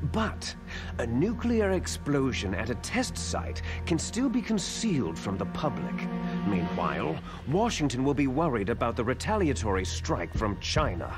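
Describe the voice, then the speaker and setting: An arrogant, widely swooping villain boss. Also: male voice, mid-range voice. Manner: commanding, theatrical, contemptuous. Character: arrogant, cunning male terrorist boss scheming for power. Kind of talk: dramatic video game cutscene acting.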